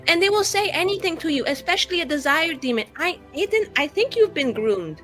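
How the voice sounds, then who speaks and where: A woman's voice: very high-pitched, big pitch swings, a little fast, a bit bright, faint music behind, exaggerated voice. A woman playing a vain, sassy but worried companion in a tabletop game, arguing with teasing, emphatic exasperation. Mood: worried, insistent.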